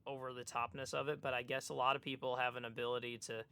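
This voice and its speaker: Bright, clear-voiced man, halting delivery. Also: medium pitch, flat delivery. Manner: hesitant, halting, repeats word starts. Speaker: podcast co-host. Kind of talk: casual chat.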